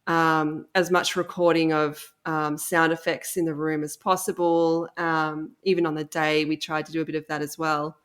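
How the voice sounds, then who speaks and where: A woman's voice: deep voice, level tone, moderate pace, hardly any pauses. A woman chatting casually and effusively as a guest on an interview podcast. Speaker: interview guest, audio drama co-creator.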